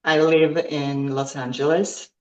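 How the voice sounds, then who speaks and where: Woman, 50s, podcast guest; unhurried, halting delivery full of ums. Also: sits low, flat delivery, a little mellow, dull audio. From Croatia. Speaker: guest athlete. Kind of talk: podcast interview.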